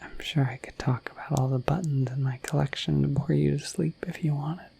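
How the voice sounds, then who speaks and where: A woman voicing a shy, friendly dragon in a breathy whispered ASMR roleplay, rambling gently and hesitantly to the listener. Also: low voice, fairly even intonation, a little fast, heavily acted. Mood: gentle, chatty. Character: shy, friendly, dorky dragon in humanoid form.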